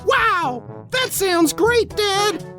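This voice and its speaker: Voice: very high voice, expressive, extremely slow, pushed and bright, full character voice. A performer playing a wide-eyed little boy in a corny ad skit, with an exaggerated gee-whiz manner. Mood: impressed. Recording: music bed under the voice.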